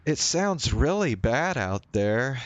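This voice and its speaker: A man voicing a considerate young woman in a visual novel, with a gentle, lifted delivery. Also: medium pitch, sing-song, slow pace, no room sound, pitched above the performer's own voice. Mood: gentle concern.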